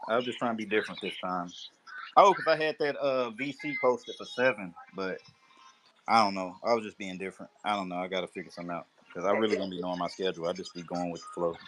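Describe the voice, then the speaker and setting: A man's voice: low voice, quick pace, noisy background. A man hosting a casual live audio chat, laid-back and joking, laughing often. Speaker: host.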